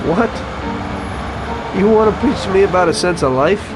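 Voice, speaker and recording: Guarded, terse young gangster. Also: male voice, high-pitched, expressive, normal speed, noisy background. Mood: skeptical. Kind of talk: video game cutscene acting.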